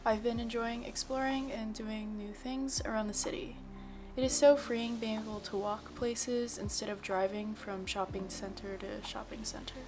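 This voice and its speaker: Soft-spoken young woman narrating over music. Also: a little high. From Virginia. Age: young adult. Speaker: vlogger. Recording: music bed under the voice. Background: soft background music.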